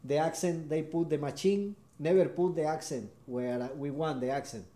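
A man from Venezuela with a Venezuelan Spanish accent, a harp player answering interview questions in halting English, often repeating short yeses. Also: on the high side, mellow, roomy sound.

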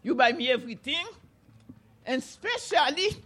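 A woman's voice: animated, moderate pace, a bit bright. An older woman storyteller voicing a harsh, bossy auntie who scolds and threatens. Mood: ordering. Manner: shrill and scolding.

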